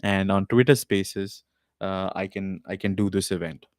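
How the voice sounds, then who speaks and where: A man with a fairly low voice hosts a live podcast stream from a small home setup. He talks in a loose, rambling way, full of "uh"s and restarts. The desk mic sound is dry and close. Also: fairly even intonation, a little slow, no echo. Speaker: podcast host. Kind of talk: casual live-stream talk. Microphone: desk mic with podcast recorder.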